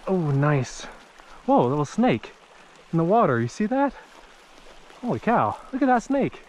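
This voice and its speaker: A man's voice: high voice, a little slow, slight background noise. A man in his thirties narrating his fishing trip outdoors, exclaiming whenever he hooks a fish. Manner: excitable, exclaims at each catch. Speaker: fishing vlogger. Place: small creek in an open mountain valley outdoors. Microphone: head-mounted action camera.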